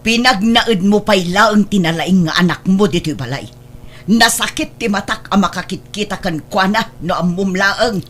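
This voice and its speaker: A woman's voice: mid-range voice, normal speed, close to an everyday voice. A woman with an Ilocano Filipino accent playing a bitter, resentful wife and stepmother, scolding in radio drama style. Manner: scolding, sharp-tongued.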